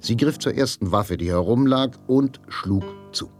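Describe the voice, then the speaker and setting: An actor narrating a radio drama as a grave, literary storyteller. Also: male voice, mid-range voice, lively intonation, unhurried, soft background music, close to an everyday voice. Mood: dramatic.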